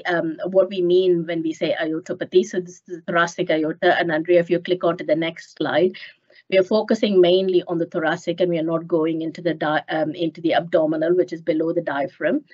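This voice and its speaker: Woman lecturer, stuffy nose, lowish voice, careful hesitant delivery, dull video call sound. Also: darkish tone. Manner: measured, many ums and uhs. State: nasal from a cold. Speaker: clinical geneticist giving a talk. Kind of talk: online medical lecture. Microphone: headset on a video call. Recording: muffled video call audio.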